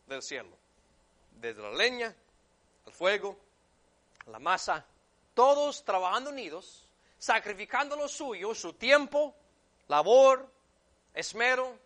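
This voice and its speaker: A male preacher with a high, bright voice delivers a sermon as a native English speaker. He is emphatic, repeating phrases for weight. His delivery moves between hushed asides and forceful declarations. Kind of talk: sermon with scripture reading.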